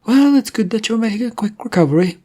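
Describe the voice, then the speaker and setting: A storyteller voicing a brisk, attentive female hospital nurse in a story, matter-of-fact and reassuring. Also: mid-range voice, animated, mellow, pitched above the performer's own voice, close to an everyday voice.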